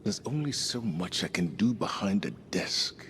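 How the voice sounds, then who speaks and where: An actor playing a grave, thoughtful commander in a video game, speaking in a measured, reflective way, over game music. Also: male voice, on the low side, animated, a bit bright, a little hiss or hum.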